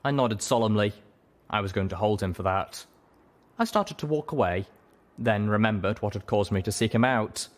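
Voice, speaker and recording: A man reading a first-person story aloud as an expressive scripted narration. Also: fairly low pitch, moderate pace, slight background noise.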